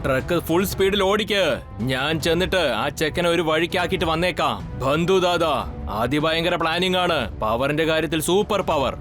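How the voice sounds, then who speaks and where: A man's voice: fairly high pitch, moderate pace, over music, lightly acted. A man voicing a boastful, threatening gang-boss villain in a dubbed children's cartoon, menacing and self-important. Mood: gloating.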